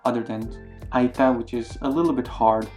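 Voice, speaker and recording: A man's voice: steady tone, moderate pace. Playful young man teaching phrases over music. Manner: upbeat, playful, acts out greetings with big pitch jumps. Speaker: language learning vlogger. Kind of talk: casual language tips to camera. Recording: soft music underneath.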